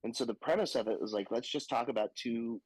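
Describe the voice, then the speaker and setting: A man's voice: medium pitch, fast, husky, dry recording, low-quality recording. A young man and stand-up comedian talking casually on a podcast, laughing often and joking crudely. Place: Austin, Texas.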